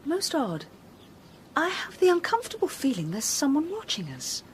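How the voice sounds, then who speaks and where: A woman's voice: high voice, huge pitch swings, normal speed, a little hiss or hum. An actress playing an anxious, proud but vulnerable young Victorian lady in a period radio drama, speaking formally and defensively. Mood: subdued, grateful.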